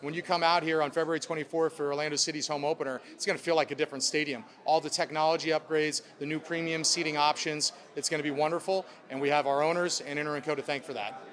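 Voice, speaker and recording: A man's voice: a little high, fairly even intonation, fast, hardly any pauses, a bit bright. Businesslike man fielding press questions. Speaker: sports club president at a press conference. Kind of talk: answering reporters' questions.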